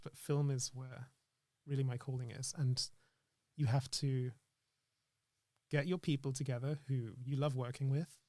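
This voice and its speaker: A man's voice: medium pitch, a little mellow, no echo. A man speaking as an interview guest in casual, reflective chat, earnestly thinking aloud in broken phrases. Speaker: interview guest, actor and screenwriter.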